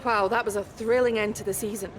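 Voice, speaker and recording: A woman's voice: mid-range voice, steady tone, quick pace, natural voice. A woman playing a polite, professional motorsport reporter doing probing interviews in a video game cutscene. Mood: sympathetic, probing.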